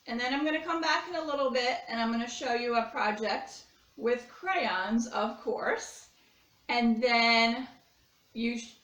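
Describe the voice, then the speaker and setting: A woman with a high, bright voice speaks to children as a teacher and storyteller. Her delivery is slow and simple, and the room adds echo. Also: recorded from a distance. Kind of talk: children's read-aloud and lesson introduction. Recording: roomy, echoing sound.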